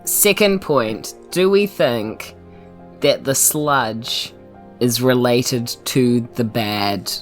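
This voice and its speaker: A woman's voice: very deep voice, drawn-out words, soft background music. A woman with a New Zealand accent playing in a tabletop podcast, wry and teasing. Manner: wry, teasing, laughs easily. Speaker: player. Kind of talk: casual podcast chat.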